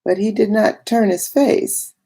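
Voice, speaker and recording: A woman voicing a wistful, awestruck woman of biblical times in a dramatic literary monologue, with hushed, reverent phrasing and a breathy, husky voice that often drops toward a whisper. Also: mid-range voice, steady tone, measured pace, pitched above the performer's own voice. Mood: wistful, awed. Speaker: reader.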